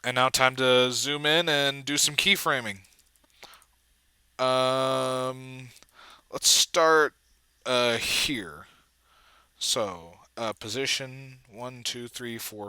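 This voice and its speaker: Bright-voiced man talking through video editing. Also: medium pitch, smooth, no room sound. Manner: halting, trailing off mid-thought. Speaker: amateur video editor making a tutorial. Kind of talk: casual screen-recording walkthrough, thinking aloud.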